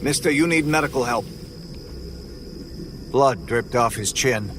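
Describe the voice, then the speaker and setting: A man telling a first-person horror story in a tense, measured way. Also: medium pitch, animated, background noise. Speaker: narrator.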